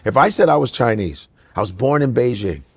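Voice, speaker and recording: A man co-hosting a podcast, telling comic stories in an animated way and slipping into voices and accents. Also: medium pitch, brisk, breathy edge. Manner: lively, slips into voices and accents to act out stories. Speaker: comedian and podcast co-host.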